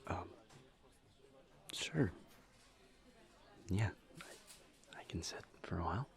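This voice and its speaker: A man acts out a shy male incubus who is flirtatious by nature yet careful and courteous. His deep voice has a gravelly creak and softens toward a whisper. He talks extremely slowly and haltingly, as in an intimate audio roleplay. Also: lively intonation, exaggerated voice. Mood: awkward, polite. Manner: hesitant, halting, awkward small talk. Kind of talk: audio roleplay acting, intimate one-sided conversation.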